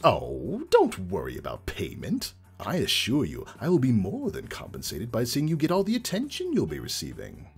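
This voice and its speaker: An actor voicing a mischievous male chaos spirit in a fan fiction reading, theatrical and slyly persuasive, with a husky, raspy delivery. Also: mid-range voice, wildly swooping pitch, faint music behind, heavily acted. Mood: persuasive, smug. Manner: theatrical, drawn-out, swooping delivery.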